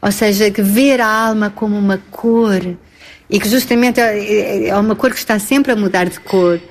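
A woman's voice: medium pitch, normal speed, a little hiss or hum. A Portuguese woman with a European Portuguese accent, an actress speaking thoughtfully with frequent hesitations. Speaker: actress, guest. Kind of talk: interview conversation.

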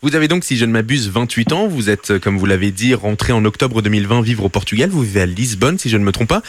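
A man's voice: lowish voice, expressive, fast talker, no room sound. A man interviewing a guest on the radio, asking formal, polite questions.

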